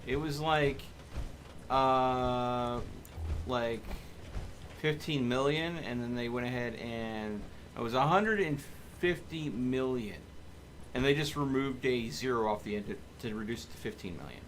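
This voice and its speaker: A man's voice: medium pitch, unhurried. A man giving casual live commentary as he streams a video game. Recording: clear background noise.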